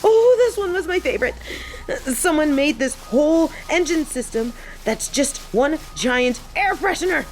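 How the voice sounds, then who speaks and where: A young woman playing an excitable, sassy biker and machine nerd on a date in a romantic audio roleplay. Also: unhurried, slight background noise, low-quality recording. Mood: gushing, excited. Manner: gushing and teasing, swinging between squeals and low deadpan. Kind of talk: romantic audio roleplay, scripted monologue to an unseen listener.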